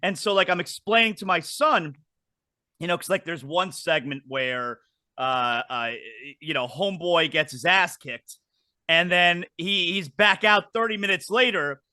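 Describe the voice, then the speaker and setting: A middle-aged man hosts a casual wrestling show. His voice sits high and bright, and he rambles cheerfully, doubling back on his words. The sound is dry, with no room around it. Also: a little slow, no echo. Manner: chatty, repeats words when restarting. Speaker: podcast host.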